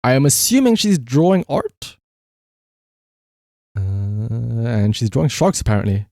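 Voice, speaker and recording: A man's voice: medium pitch, sing-song, measured pace, gravelly, mellow, dry recording, studio quality. A man livestreamer chatting casually and rambling to his viewers, swearing freely with playful exasperation. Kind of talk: casual chat to stream viewers.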